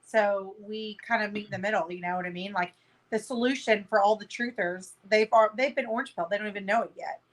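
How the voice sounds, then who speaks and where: Woman, mid-pitched, brash and emphatic casual talker on a video call, swears freely. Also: fairly even intonation, brisk, poor audio. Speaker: panel guest. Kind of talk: casual group chat.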